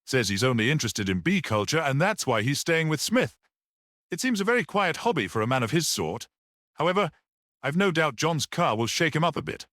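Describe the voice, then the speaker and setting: Man reading as a reflective gentleman, lively lilt. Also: on the high side, brisk, dry recording, clean studio sound, pitched above the performer's own voice. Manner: tactful, musing. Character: smooth, reflective, well-dressed bearded young gentleman.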